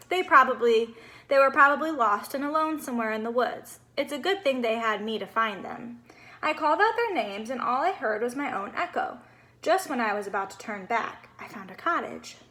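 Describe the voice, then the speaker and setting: Female reader as naive, cheerful boy snowman for a storybook: high-pitched, expressive, bubbly, innocent. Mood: curious, upbeat. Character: cheerful, naive little male snowman telling his story.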